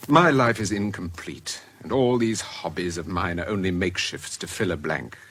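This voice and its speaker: An actor playing a reserved, lonely middle-aged British craftsman in a period radio drama, speaking in a measured, earnest way. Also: sits low, fairly bright tone, husky. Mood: earnest, yearning. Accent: British English.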